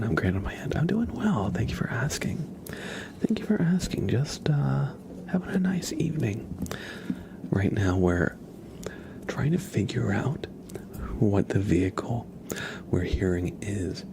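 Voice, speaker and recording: A man hosting a live ASMR stream, chatting casually and haltingly in a soft, breathy whisper with a relaxed, musing manner. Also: background noise. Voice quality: soft, breathy whisper with some creaky low voicing. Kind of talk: whispered ASMR livestream chat.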